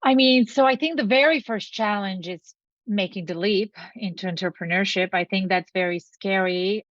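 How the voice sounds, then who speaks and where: A middle-aged woman from Bulgaria, a company founder, talks with earnest, thoughtful care as a podcast guest. Her voice reaches us over a video call with a dull, narrow sound and no room echo. It sits at an ordinary pitch for a woman. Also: moderate pace. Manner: earnest, reflective, speaks in long lists. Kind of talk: interview conversation.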